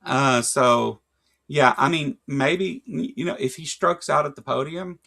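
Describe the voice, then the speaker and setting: A man's voice: a little high, measured pace, vocal fry, bright, forceful tone. A man with a Kentucky accent co-hosting a casual livestream chat, rambling with lots of fillers and jokes. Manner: rambling, filler-heavy, joking. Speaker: podcast co-host.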